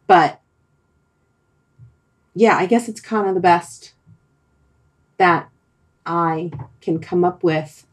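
Woman, lower register, husky. Casual podcast conversation, reflective, works ideas out aloud with emphatic repetition. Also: a little mellow. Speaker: podcast discussion participant.

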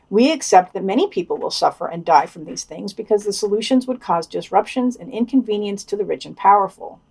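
A woman's voice: lowish voice, gravelly, darkish tone, slightly rough. A woman co-hosting a podcast, reading scripted news commentary and quotations with occasional wry asides. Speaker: podcast co-host.

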